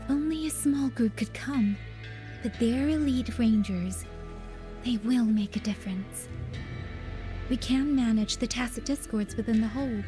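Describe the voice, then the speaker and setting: An actress playing a composed female military officer in a video game who reports in a brisk, dutiful and measured way. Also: on the high side, over music, lightly acted.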